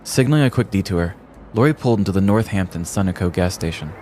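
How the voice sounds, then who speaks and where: An American man reading a scripted comedic story in a deliberate, theatrical storyteller's manner. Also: low-pitched, lively intonation, warm tone, a little hiss or hum. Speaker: podcast host telling a story.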